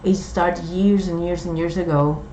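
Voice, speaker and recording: A middle-aged woman from Puerto Rico, with a Puerto Rican accent and Spanish as her first language, talking in a slow, halting way with many fillers. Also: fairly low pitch, fairly even intonation, dark tone, a little hiss or hum. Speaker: co-host. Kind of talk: casual chat. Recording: dull, echoey room recording.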